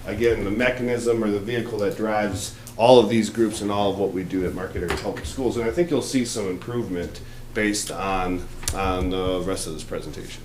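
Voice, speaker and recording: A man's voice: lowish voice, brisk. A man speaking as a school superintendent, giving a plainspoken report to a township board. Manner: loud, plainspoken presenter. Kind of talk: public meeting presentation. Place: township board meeting room. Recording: echoey room with faint noise.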